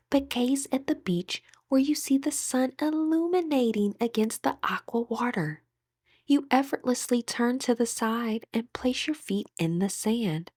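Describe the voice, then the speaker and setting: A woman leading a scripted guided meditation in a soothing, deliberate manner that often softens into breathiness, recorded close on a voice-over microphone. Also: fairly high pitch, husky, no echo.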